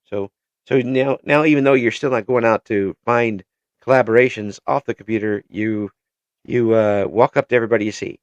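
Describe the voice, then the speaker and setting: A man hosting an interview, asking questions in a halting way with many restarts and repeated words. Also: fairly low pitch, steady tone, dry recording. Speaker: interview show host. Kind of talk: casual interview chat. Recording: dull lo-fi recording.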